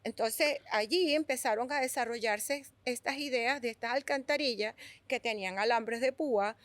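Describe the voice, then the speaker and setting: A middle-aged Venezuelan woman with a Venezuelan accent, a sculptor telling stories in an interview, animated and restarting her words often. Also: a little high, normal speed, no room sound. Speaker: guest sculptor. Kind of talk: conversational storytelling.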